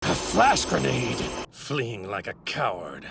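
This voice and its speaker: A man's voice: mid-range voice, big pitch swings, slow pace, creaky, very noisy, full character voice. A man playing an arrogant godlike villain in an English anime dub, with pompous, theatrical, formal delivery. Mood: disdainful. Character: arrogant godlike male villain in a stolen warrior body.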